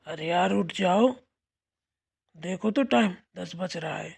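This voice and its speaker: A woman's voice: fairly low pitch, slow pace, gravelly, darkish tone, breathy edge, exaggerated voice. A woman voicing a nagging, grumpy young husband in a family cartoon, bickering in a casual, curt way. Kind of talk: amateur Hindi family cartoon dialogue.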